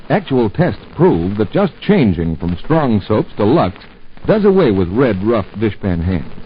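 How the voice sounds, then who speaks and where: A man announcing a 1940s radio commercial, smooth, persuasive and emphatic. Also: mid-range voice, slight background noise. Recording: muffled 1940s radio broadcast with music.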